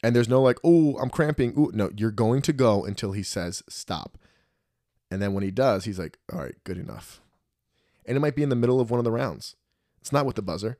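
A man in his thirties telling stories in a casual podcast chat, profane and animated. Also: mid-range voice, fairly quick, dry recording, studio quality. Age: 30s. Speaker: podcast guest.

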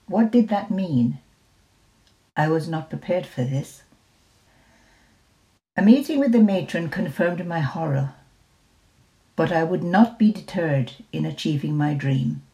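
A woman in her seventies reads her memoir aloud, slow and deliberate. Her voice sits low, with a soft, mellow warmth to it. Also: rough recording. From Jamaica. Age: 70s. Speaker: retired nurse. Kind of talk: reading a personal memoir.

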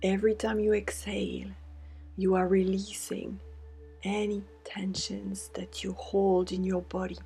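A woman guides a meditation in a soothing, deliberate way, with breathy half-whispered phrases. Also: medium pitch, little pitch movement, warm tone. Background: meditation music with singing bowl tones.

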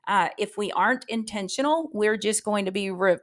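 A woman's voice: mid-range voice, moderate pace. A woman with a mid-range voice hosts a coaching podcast. She addresses the listener directly and emphatically, using rhetorical questions to drive her points home as a practice coach.

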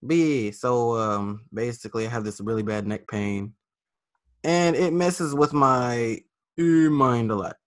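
A young man co-hosting a casual podcast, talking in slangy, rambling, animated bursts. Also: mid-range voice, measured pace, dry recording, clean studio sound. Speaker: podcast co-host. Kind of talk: casual chat.

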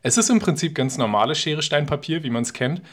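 A man's voice: a little high, animated, a little fast. Teasing male podcast co-host. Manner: playfully teasing. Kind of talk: casual, bantering podcast storytelling.

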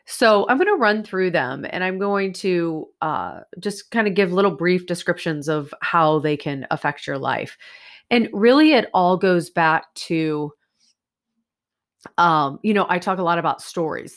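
A woman's voice: on the low side, animated, a little slow. Stuffy-nosed woman hosting a podcast. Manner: chatty, rambling, many fillers. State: stuffy, nasal from a sinus infection. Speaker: podcast host. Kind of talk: casual solo podcast. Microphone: new USB microphone.

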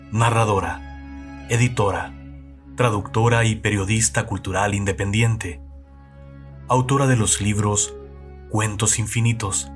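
Slow solemn male narrator over music. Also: fairly low pitch. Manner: slow, measured, solemn reading. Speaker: narrator introducing the episode.

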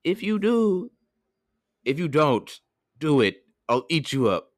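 Storybook wolf, male reader: villainous, sly, wheedling, pitched up in disguise. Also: big pitch swings, takes it slowly, no room sound, clean studio sound. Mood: threatening.

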